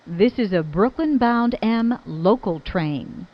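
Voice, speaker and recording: A woman's voice: mid-range voice, animated, slow, dull audio. A woman reading scripted subway announcements in formal, clipped phrases that sound pieced together.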